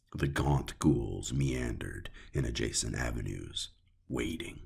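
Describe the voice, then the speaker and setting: A male narrator reads dark fiction in an extremely low, very husky and gravelly voice. His delivery is slow, measured and brooding, broken by deliberate pauses. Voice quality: gravelly, raspy. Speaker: audiobook narrator. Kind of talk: dramatic scripted horror narration.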